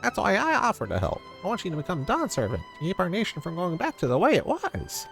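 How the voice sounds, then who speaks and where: An eager young villager from a fantasy game, acted out by a man, with a high and wildly swooping voice. The small loyal guide explains things earnestly, a gravelly edge in the sound and music underneath. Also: wildly swooping pitch, fast talker, fairly bright tone, heavily acted. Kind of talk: fantasy game dialogue read aloud.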